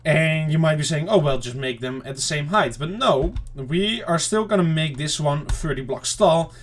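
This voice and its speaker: A man giving casual, rambling let's-play commentary to viewers, his throat slightly off and clearing it now and then. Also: on the high side, moderate pace. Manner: rambling, chatty self-talk to viewers. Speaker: gaming channel host.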